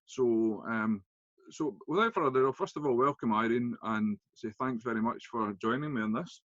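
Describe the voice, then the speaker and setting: A Scottish man hosts a casual interview chat and keeps stopping to restart his sentences. His voice sits at a middle pitch. The sound is dry and a little dull. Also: a bit bright, no echo. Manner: halting, with many fillers and restarts. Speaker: interview host.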